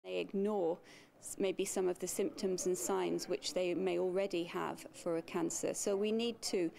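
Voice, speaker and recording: A woman giving an interview statement in a steady way with some fillers. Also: fairly low pitch, moderate pace, gravelly, a crowd in the background.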